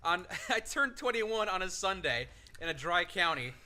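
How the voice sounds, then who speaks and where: Young vet co-host, bright voice, lively pitch, laughs at himself. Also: male voice, moderate pace. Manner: sheepish storyteller, laughs often. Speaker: co-host, veteran. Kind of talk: casual reaction chat.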